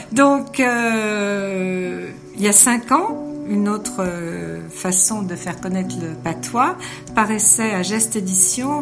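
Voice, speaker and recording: A woman hosting a local radio show, introducing her guest and asking prepared questions. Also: medium pitch. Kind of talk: radio interview. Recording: radio studio with music behind.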